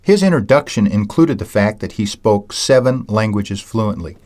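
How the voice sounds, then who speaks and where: Low-voiced man narrating a lesson. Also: animated, a little mellow. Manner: measured, anecdotal, self-described ham. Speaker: audiobook narrator and seminar teacher. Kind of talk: scripted audiobook narration.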